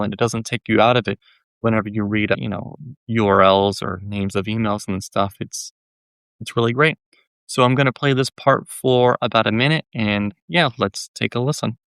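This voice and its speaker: A man's voice: on the low side, a bit bright, clear, resonant tone, no room sound. A man giving a casual, informal book talk as presenter, hesitant and often repeating words and phrases. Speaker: presenter reviewing a novel.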